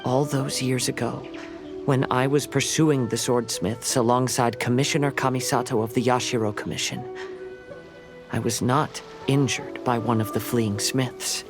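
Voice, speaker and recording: An actor playing a gentle, thoughtful young samurai in a video game, speaking in a calm, measured, formal way. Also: male voice, medium pitch, natural voice. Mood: solemn. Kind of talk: video game voice acting, storytelling. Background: faint game music.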